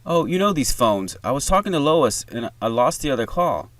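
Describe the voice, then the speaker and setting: Guy doing a worried snappy mom on the phone, voice goes up, insistent, very animated. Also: mid-range voice, a little fast, dry recording, pitched above the performer's own voice, lightly acted. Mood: tense, urgent. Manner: urgent, insistent. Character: anxious, snappish wife and mother on the phone.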